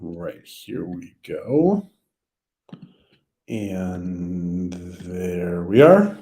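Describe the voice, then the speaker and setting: Slow, low-voiced man hosting a livestream. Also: very animated, warm tone. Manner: halting, many ums and restarts. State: losing his voice. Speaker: livestream host. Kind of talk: casual livestream chat.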